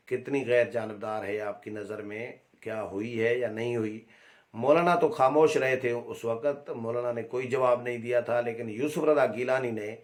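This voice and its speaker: Pakistani male reporter, halting delivery. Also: medium pitch. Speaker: political reporter. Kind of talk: unscripted political commentary.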